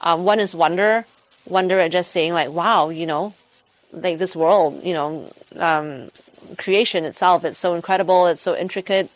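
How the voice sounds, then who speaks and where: A woman's voice: lowish voice, moderate pace, no echo. Thoughtful Malaysian-raised woman, dry sound. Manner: thoughtful, many restarts and fillers. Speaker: physics professor, interview guest. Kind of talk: interview answers.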